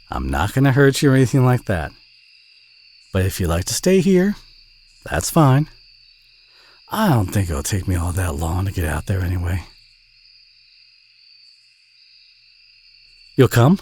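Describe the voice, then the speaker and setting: A man acts out a kind country boy with a Southern US accent, speaking straight to the listener in an audio roleplay. His voice is fairly low and husky, with very lively swings in pitch. He comes across as folksy, protective and a little bashful. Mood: concerned, reassuring, then shyly pleased. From southern United States. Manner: folksy, gentle, hesitant, reassuring.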